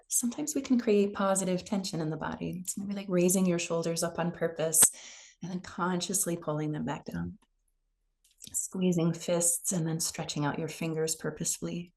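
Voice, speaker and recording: A woman leading a guided meditation in a gentle, unhurried, soothing manner. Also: fairly low pitch. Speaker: meditation teacher.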